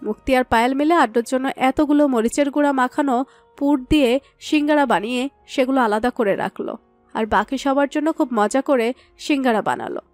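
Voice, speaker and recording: A woman narrates a scripted story in a high-pitched voice. The sound is close and dry, with no room around it. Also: animated, brisk, a little mellow, no room sound, soft background music.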